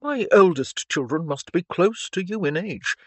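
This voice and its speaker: A narrator voicing a reserved, formal gentleman, a father of teenagers, in an audiobook, speaking in a measured way. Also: mid-range voice, lively intonation, no room sound. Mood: cautiously warm. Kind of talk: audiobook character dialogue.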